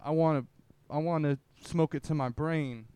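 A man's voice: a little high, fairly even intonation, moderate pace, dark tone, clean tone, no echo. A man co-hosting a comedy podcast, half-singing improvised joke songs. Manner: half-sung improvised chanting.

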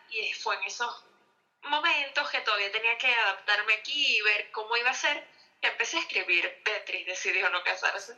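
A woman's voice: mid-range voice, pushed and bright, dull audio. A young Venezuelan woman with a Venezuelan Spanish accent, a novelist answering interview questions on the radio with a chatty manner and many fillers. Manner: chatty, lots of fillers, laughs often. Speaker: novelist guest.

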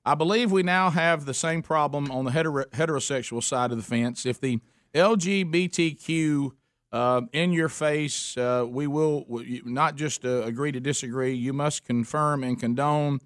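A man's voice: fairly high pitch, normal speed, no echo, studio quality. A man, hosting a radio talk show in a joking, folksy way with plenty of fillers. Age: 50s. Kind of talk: casual radio banter.

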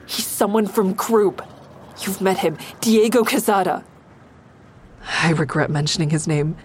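A woman's voice: medium pitch, measured pace, slight background noise, close to an everyday voice. An actress playing a defiant, distraught young woman who narrates her own story in an audio drama. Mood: anxious pleading. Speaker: narrator and lead character.

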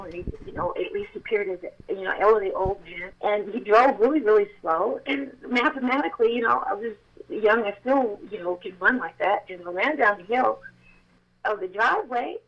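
An actress with a childlike voice telling a personal story with feeling, at times close to shouting. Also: female voice, medium pitch, moderate pace, muffled recording. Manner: animated, swells to near shouting at intense moments. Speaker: actress telling her testimony.

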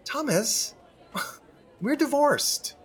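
A man's voice: very high voice, sing-song, very slow, a bit bright, exaggerated voice. An actor playing an exasperated, emotional divorced man, emphatic and theatrically wounded, in comic audio drama. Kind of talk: comedic audio drama dialogue.

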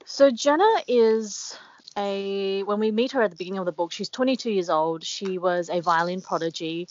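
A woman's voice: medium pitch, normal speed, a little mellow, lo-fi sound. A woman guest being interviewed, talking reflectively with many fillers and restarts. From Australia. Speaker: author guest. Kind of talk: casual interview talk.

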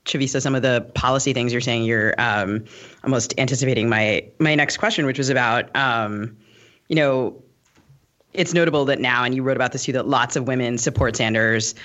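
A man's voice: mid-range voice, a little fast, fairly bright tone. Dull-sounding male podcast interviewer. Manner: discursive, self-qualifying. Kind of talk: casual interview discussion.

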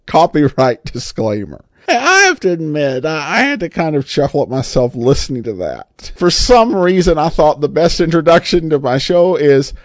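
Animated, higher-pitched man hosting a podcast. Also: no room sound, studio quality. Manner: self-deprecating, hesitant with frequent pauses. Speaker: podcast host. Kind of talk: informal solo podcast commentary.

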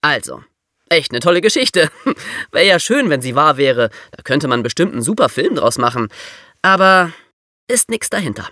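An actor playing an excitable, chatty boy who eagerly tells stories in a scripted podcast. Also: on the high side, moderate pace, no room sound. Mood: animated, debunking. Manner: eager, animated storyteller. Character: excitable, chatty boy sharing investigated theories. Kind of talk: scripted podcast banter and storytelling.

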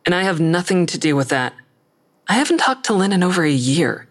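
A woman's voice: fairly low pitch, big pitch swings, fairly quick. An actress playing a guarded, defiant woman who narrates her own crime story in a wry, sarcastic way. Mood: defensive. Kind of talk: audio drama acting with first-person narration.

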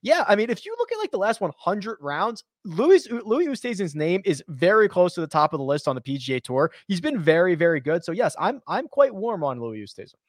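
A man's voice: high-pitched, brisk, few pauses, no echo, clean studio sound. Upbeat male sports podcast host. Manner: energetic, chatty, upbeat sign-offs. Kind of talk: casual sports betting chat.

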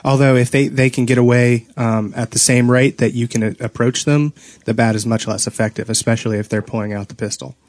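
A man's voice: mid-range voice, level tone, fairly quick, a little mellow, no echo. A man co-hosting a casual gaming chat, with a playful, teasing way of talking. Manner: playful teasing, joking with co-hosts. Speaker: podcast co-host.

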